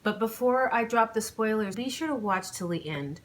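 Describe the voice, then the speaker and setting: A woman's voice: fairly high pitch, lively intonation, moderate pace, slight background noise. A woman speaking as an author and channel host, with an informal, storytelling way of talking. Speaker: author and channel host reading own novel. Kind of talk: chatty vlog intro, then audiobook narration.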